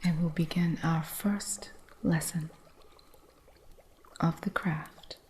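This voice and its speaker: A woman voicing an eccentric witch shopkeeper who mentors her apprentice, speaking in soft, unvoiced whispers for an ASMR roleplay. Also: sits low, steady tone, takes it slowly, background noise. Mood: gentle whispering.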